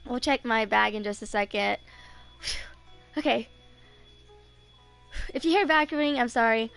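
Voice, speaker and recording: A young woman with a high, clear voice streams a video game. She chats casually and reads game text aloud, with music playing behind her. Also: measured pace, fairly bright tone. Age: 20s.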